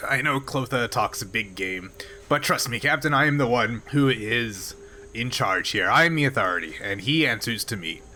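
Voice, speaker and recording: A man's voice: mid-range voice, expressive, moderate pace, pushed and bright, a little hiss or hum. A man voicing a jolly, proud older Klingon mine boss in a tabletop game, speaking in a blustery, emphatic way. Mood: jovial, boastful.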